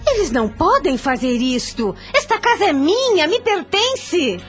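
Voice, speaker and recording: A woman's voice: very high-pitched, big pitch swings, a little slow, soft background music, full character voice. An actress playing an anguished, devout mother in a radio soap opera, speaking in a formal, pleading and emphatic way. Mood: alarmed. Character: anguished, devout mother pleading to protect her young daughter.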